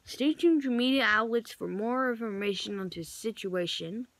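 A woman improvising mock emergency broadcast announcements in a halting way, correcting herself as she goes. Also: on the high side, no echo. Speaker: amateur vlogger play-acting emergency alerts.

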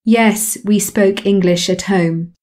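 A woman repeats English drill sentences at an unhurried pace. Her voice is mellow and warm, and she keeps a calm, measured delivery. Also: mid-range voice. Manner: calm, measured repetition of phrases. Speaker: English lesson voice. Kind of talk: slow scripted language drill.

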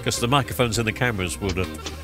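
A man's voice: lowish voice, fast talker. Middle-aged male vlogger, stuffy cold voice, rambling casual voiceover, music bed underneath. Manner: chatty, rambling with many ums and uhs. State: blocked-up nose from a cold. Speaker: TV repair vlogger. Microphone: studio microphone recorded in post-production. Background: background music.

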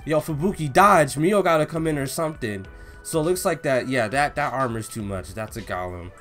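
A young man doing casual, slangy reaction commentary and read-along, expressive and given to drawn-out exclamations. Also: mid-range voice, soft background music. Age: young adult. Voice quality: slangy, informal. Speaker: YouTube reaction host. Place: small indoor room at home.

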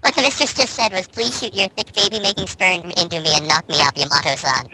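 A woman's voice: lowish voice, quick pace, background noise. A woman joking casually and reading lines aloud in a group of friends.

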